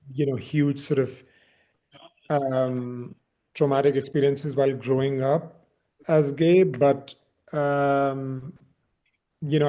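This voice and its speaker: A man's voice: mid-range voice, warm tone. A man from India speaking as an interviewed film director, reflective, often repeating words as he searches for phrasing.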